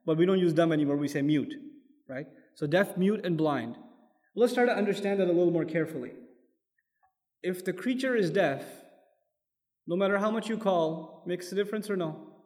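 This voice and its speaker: A man's voice: high-pitched, normal speed, pauses often, a little mellow. A man teaching a Quran lesson as a sermon, explaining step by step, quoting Arabic verses and putting questions to his listeners. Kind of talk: lecture-style sermon. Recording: long hall reverb.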